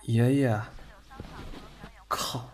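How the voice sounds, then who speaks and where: A man's voice: medium pitch, steady tone, drawn-out words, a little mellow. A man acting a cheerful, doting young man in a Chinese audio drama, playful and boyish. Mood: indignant.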